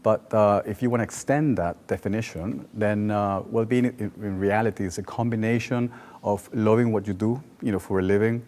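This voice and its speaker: A man in his forties speaks English with a Peruvian Spanish accent. His voice is on the low side, and he talks conversationally, telling personal stories as a well-being coach being interviewed. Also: fairly even intonation, moderate pace, a little mellow. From Peru. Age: 40s.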